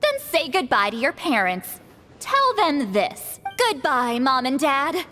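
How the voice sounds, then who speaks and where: Haughty shaman priestess, high-pitched, swooping intonation, commanding, teasing, anime game, reverberant with music. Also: very animated, slow pace, sharp tone, full character voice. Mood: mocking, teasing. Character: haughty, sharp-tongued young-sounding granny shaman priestess. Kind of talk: anime fantasy game voice acting. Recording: long reverb, music underneath.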